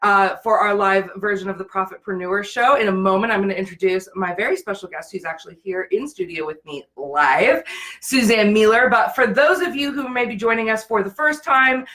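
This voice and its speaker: Woman, show host, mid-range pitch, live interview presenting, business strategist. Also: a little fast, a bit bright.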